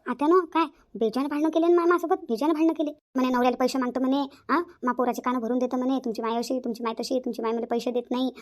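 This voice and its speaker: Meek, high-voiced elder daughter-in-law, acted by a woman. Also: steady tone, rapid-fire, few pauses, natural voice. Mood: aggrieved. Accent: rural Maharashtra, Varhadi. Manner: timid, plaintive, conflict-avoiding. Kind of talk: cartoon family drama acting.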